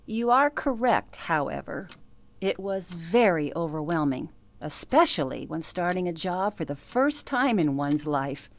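An actress playing a composed, politely firm elderly former telephone operator in a radio drama, with measured, formal phrasing. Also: female voice, lowish voice, sing-song, no room sound. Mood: reflective. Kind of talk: radio drama interview acting.

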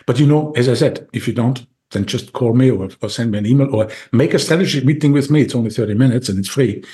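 A man with an Austrian accent talks as a podcast guest. He speaks eagerly and at length, and he restarts his sentences often. His voice sits at an ordinary middle pitch for a man. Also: fast talker, dark tone. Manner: eager, talkative, with many restarts and fillers. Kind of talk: casual interview talk.

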